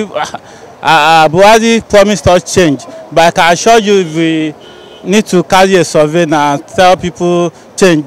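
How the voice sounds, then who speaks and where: A man's voice: high-pitched, clean tone, poor audio. A young Nigerian man with a Nigerian accent, speaking critically and with some hesitation in a street interview. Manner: critical, measured, hesitant fillers. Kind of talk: vox pop street interview. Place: city street outdoors. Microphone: handheld reporter mic. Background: traffic and street ambience.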